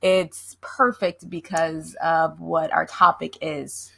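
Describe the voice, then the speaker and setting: A woman's voice: low voice, level tone, takes it slowly, vocal fry, fairly bright tone, slightly rough. A woman in her thirties co-hosting a podcast, upbeat and laughing often in casual chat. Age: 30s. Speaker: podcast co-host.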